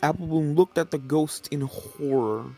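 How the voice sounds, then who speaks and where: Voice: medium pitch, normal speed, warm tone. A narrator giving a scripted reading of a fan fiction story. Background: game soundtrack music.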